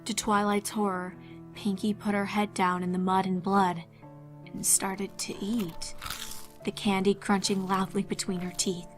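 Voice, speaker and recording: Woman narrating with very even intonation. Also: medium pitch, measured pace, faint music behind. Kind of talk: scripted fan fiction horror narration.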